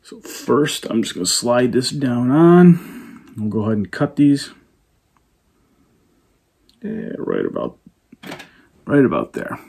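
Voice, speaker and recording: A man in his thirties talks through a wiring job in a relaxed, muttering way, his voice husky and mid-pitched. He sits at a table indoors, picked up by a camera across from him. Some words drop to a near whisper as he concentrates. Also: takes it slowly, long pauses. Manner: relaxed, muttering step by step, often half-whispered. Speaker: fishing channel host. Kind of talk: casual how-to narration while working.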